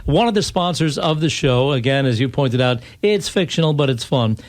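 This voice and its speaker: A man's voice: mid-range voice, animated, normal speed. A man hosting a casual live radio interview in a studio, chatting in a folksy way. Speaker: radio host and performer.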